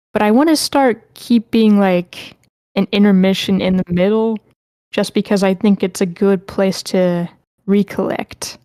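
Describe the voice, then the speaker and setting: A woman's voice: mid-range voice, dark tone, no room sound. A woman hosting an online table read, talking casually and off the cuff to participants. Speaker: host of a live table read. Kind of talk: casual, unscripted hosting talk. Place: online meeting.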